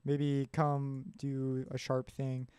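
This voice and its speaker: A man presenting a software tutorial in an informal, offhand way, thinking aloud as he works. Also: medium pitch, monotone, slow pace, clean tone, no echo, studio quality. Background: synth music and instrument sounds.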